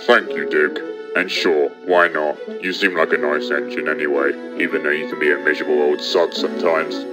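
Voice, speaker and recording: A man's voice: rumbling low, level tone, a little fast, over music. A man voicing a cocky, good-hearted steam engine in a cartoon, wry and defiant.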